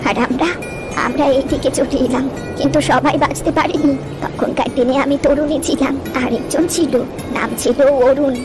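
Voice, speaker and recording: A high-pitched, gentle old grandmother voiced by a woman tells village lore in a wistful, reflective way. She repeats phrases poetically, as in a cartoon moral tale, with music clearly present behind her. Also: fairly even intonation, moderate pace, lightly acted. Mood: sorrowful, wistful. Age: older. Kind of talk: cartoon story dialogue.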